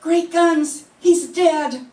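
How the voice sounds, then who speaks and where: An older woman playing a chatty, excitable dinner guest in an old radio mystery, exclamatory and theatrical. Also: very high-pitched, extremely slow, exaggerated voice. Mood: horrified. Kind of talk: 1940s radio mystery acting. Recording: echoey distant mic, clear background noise.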